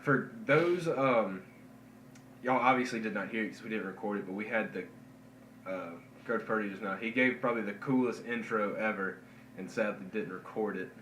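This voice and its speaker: A man's voice: lowish voice, normal speed, fairly bright tone. A man co-hosting a casual wrestling podcast, a little congested, chatty with stumbling restarts. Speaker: podcast co-host. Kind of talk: casual chat about wrestling. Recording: echoey room, faint noise.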